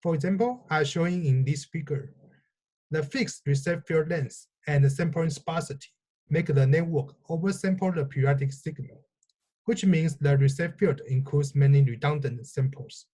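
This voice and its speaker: A man presenting research in a scripted, measured, explanatory technical talk. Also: on the high side, warm tone, low-quality recording. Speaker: researcher presenting own work.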